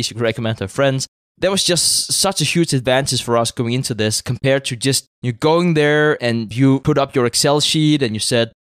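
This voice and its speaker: A man's voice: medium pitch, no room sound, studio quality. A man from Denmark with a Danish accent, speaking English as a second language as a podcast guest, in a conversational way with many fillers and repeated words. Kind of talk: interview conversation.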